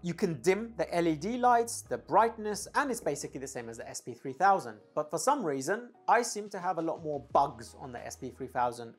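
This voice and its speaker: Low-pitched, husky woman reviewing audio gear. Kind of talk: casual product review talk.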